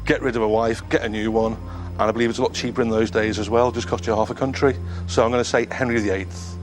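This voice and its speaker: A man's voice: mid-range voice, steady tone, quick pace, over music. A man in his thirties, a quiz contestant, reasoning aloud in a rambling, jokey way. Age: 30s. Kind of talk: thinking aloud on a quiz show.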